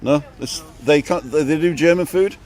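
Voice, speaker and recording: A man's voice: on the high side, normal speed, a crowd in the background. A man from England hosting a live street vlog, chatting cheerfully with passers-by and echoing their answers. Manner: chatty and upbeat, greets strangers and repeats their answers. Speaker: live-streaming vlogger stopping passers-by. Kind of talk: casual street interviews on a livestream. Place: outdoors on a seafront street at dusk.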